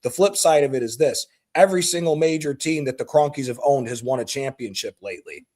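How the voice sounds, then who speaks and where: A man's voice: mid-range voice, brisk, a little mellow. Blunt, emphatic American man. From United States. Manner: emphatic, blunt, swears freely. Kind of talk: heated call-in football chat.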